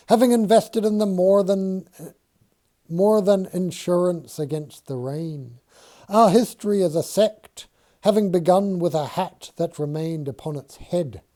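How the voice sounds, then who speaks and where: A man voicing an earnest, easily startled Quaker surveyor who speaks in archaic thee-and-thou English, loud and agitated. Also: sits high, pitched above the performer's own voice.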